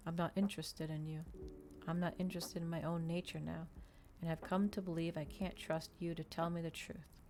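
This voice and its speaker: Casting: coolly indifferent male vampire read by a woman, lowish feminine register, flowery mocking delivery, storybook ASMR. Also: little pitch movement, fairly quick, a little mellow, a little hiss or hum, lightly acted. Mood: cool, dismissive. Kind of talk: soft ASMR audiobook character reading.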